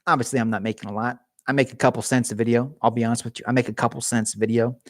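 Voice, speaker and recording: A man's voice: medium pitch, flat delivery, racing, no echo, clean studio sound. A young man chatting casually on a live stream from his garage, rambling and joking with viewers, his voice getting worn. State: losing his voice.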